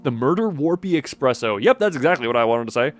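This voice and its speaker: A man streaming a game, giving casual, rambling live commentary with animated reactions. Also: mid-range voice, fast talker, soft background music.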